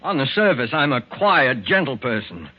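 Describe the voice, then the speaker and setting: A man's voice: mid-range voice, very animated, normal speed. An actor playing a thin, nervous, guilt-ridden man in a 1940s radio mystery, anguished and pleading. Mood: fearful, haunted. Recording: narrow-band scratchy 1940s radio recording.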